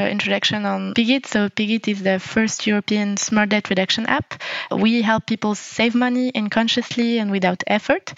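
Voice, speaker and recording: A woman's voice: mid-range voice, dry recording, dull audio. A woman from Morocco, a startup co-founder, introducing herself casually on a podcast with frequent fillers.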